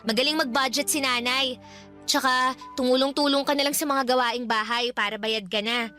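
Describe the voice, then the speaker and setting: A woman's voice: sits high, steady tone, moderate pace, sharp tone. A woman playing an outspoken, jokey young daughter and loyal best friend in a Filipino radio drama, with slangy, teasing delivery and a Filipino accent. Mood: urging, reassuring. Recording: music bed behind the voice.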